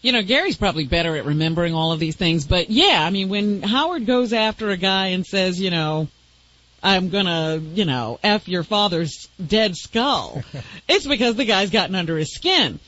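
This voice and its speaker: Animated woman co-hosting radio talk. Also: on the low side, normal speed, highs cut off. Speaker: radio co-host. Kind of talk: casual reflective discussion.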